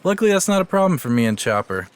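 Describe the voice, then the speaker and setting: A man's voice: fairly high pitch, very animated, rapid-fire, clear, resonant tone. An actor playing a cocky, smarmy young male adventurer with a swaggering, breezy manner in a fantasy audio drama. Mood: smug, breezy.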